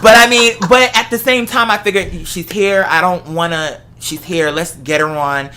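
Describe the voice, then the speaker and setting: A host in a casual personal chat, telling stories in an animated way with examples and acted-out quotes. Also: mid-range voice, moderate pace.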